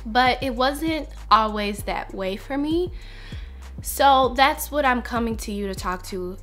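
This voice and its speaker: A young woman speaks slowly and earnestly to her viewers, taking her time over each thought. Her voice has a bright, clear edge, and faint music plays underneath. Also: a little high. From United States. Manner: earnest, reflective, slow and heartfelt. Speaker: vlogger. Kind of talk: personal vlog talk to camera.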